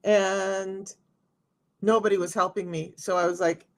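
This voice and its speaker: A middle-aged woman with a raspy voice, chatting casually to viewers on a live stream as a health coach. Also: mid-range voice, level tone, a little slow. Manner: chatty, talks straight to viewers.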